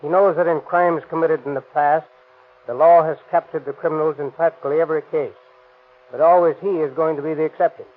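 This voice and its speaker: A man from a police department reading a stern, lecturing statement on a 1930s radio show. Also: fairly high pitch. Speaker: police department guest speaker. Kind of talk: read prepared statement. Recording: tinny 1930s radio broadcast.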